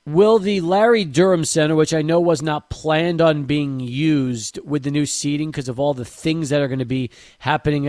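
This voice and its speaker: Male sports radio host, slightly high, winding interview questions. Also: darkish tone, no room sound, clean studio sound.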